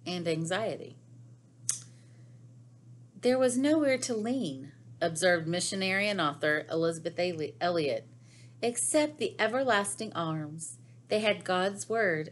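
A middle-aged woman reading devotional material aloud in a measured, deliberate way with pauses between phrases. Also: mid-range voice. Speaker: devotional reader. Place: small living room at home, indoors.

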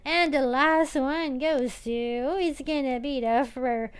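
A woman's voice: high-pitched, slow. A woman casually commenting on her own gameplay, chatty and playfully whiny, thinking aloud with many filler sounds. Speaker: gaming channel host. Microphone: tablet or phone mic.

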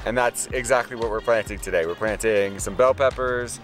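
A man with an American accent and a slightly low voice talks casually outdoors. He rambles along in a relaxed chat to camera as a home gardener, with some music behind him. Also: normal speed.

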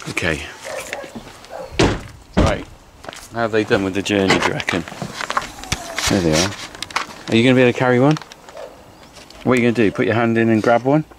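Chatty farmer, outdoor vlog. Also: male voice, medium pitch. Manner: cheerful, chatty, asks lots of questions. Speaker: farmer vlogger. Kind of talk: casual vlog chat. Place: outdoors on a farm and in a car. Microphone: handheld camera selfie. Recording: noisy outdoor camera audio.

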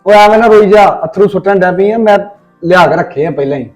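Man playing a fast-talking, fond older brother with a Punjabi accent, fairly high voice, faint music. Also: a little mellow, close to an everyday voice. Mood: teasing. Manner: fond, teasing reassurance. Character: indulgent older brother, fond, mildly exasperated. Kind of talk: comic family skit acting.

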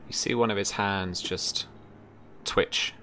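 Deep-voiced man narrating tabletop horror. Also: fairly even intonation, slow, pushed and bright, a little hiss or hum. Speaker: game master.

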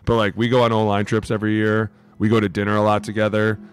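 A man's voice: lowish voice, completely flat delivery, fast, clean tone. A man chatting casually on a sports podcast in slangy bro talk, close on the microphone. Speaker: former NFL lineman, podcast co-host. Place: indoor room, remote video podcast. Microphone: close podcast microphone with headset.

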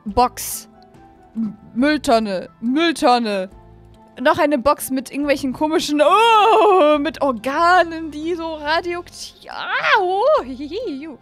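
A young woman hosting an excited play-along game commentary, gushing and repeating phrases, speaking close to a desk microphone. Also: high voice, takes it slowly, faint music behind. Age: young adult. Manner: mixes in English catchphrases. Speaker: YouTube gaming host. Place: small room at home, indoors.

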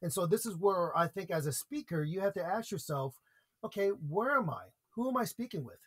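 Earnest American male guest, speaking-coach type, slightly higher voice, steady explanatory delivery. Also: a little fast, slightly rough. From United States. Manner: earnest, explains with examples and repeated points. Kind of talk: conversational podcast interview.

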